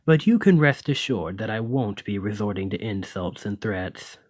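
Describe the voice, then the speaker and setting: A man's voice: fairly low pitch, expressive, normal speed, a little mellow, dry recording, studio quality. A man playing a haughty, teasing male lamia noble in an ASMR roleplay, with a pompous, refined, drawling and condescending manner. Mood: softened, pleased. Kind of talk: ASMR roleplay, scripted monologue to listener.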